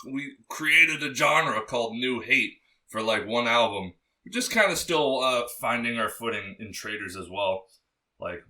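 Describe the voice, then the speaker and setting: A young man with a low, bassy voice talks loosely as a podcast guest, piling on fillers and casual swearing. His tone is bright and forceful. He sits a little back from the microphone. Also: recorded from a distance. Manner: rambling, full of fillers and swearing. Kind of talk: casual interview chat.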